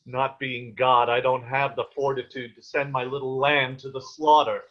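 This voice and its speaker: A man's voice: mid-range voice, level tone, normal speed, natural voice. A man playing a stern, protective peasant father in a table read of a period play, with ornate old-fashioned diction, over a video call. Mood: anguished. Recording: dull, lo-fi online call.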